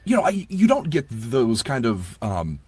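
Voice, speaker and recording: A man chatting casually as an interview guest, gushing with animated enthusiasm. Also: mid-range voice, measured pace, husky, no room sound. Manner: animated, gushing, quotes himself. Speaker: audiobook narrator, interview guest.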